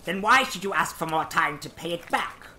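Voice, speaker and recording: Guy doing a grumpy miserly old man for radio play, really sharp scornful voice, slight background noise. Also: on the high side, animated, moderate pace. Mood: cold, dismissive. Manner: curt, scornful, sarcastic. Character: bitter, miserly old businessman, scornful and cold. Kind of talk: stage-style radio drama acting.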